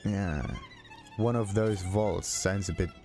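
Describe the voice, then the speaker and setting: A man's voice: on the low side, slow pace, music in the background. A man giving casual, rambling video game commentary as a let's play host, thinking aloud to himself.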